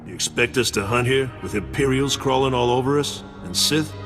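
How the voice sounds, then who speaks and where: An actor playing a gruff, disgruntled rival bounty hunter in a video game. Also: male voice, mid-range voice, brisk, close to an everyday voice. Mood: indignant. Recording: reverberant, with background music.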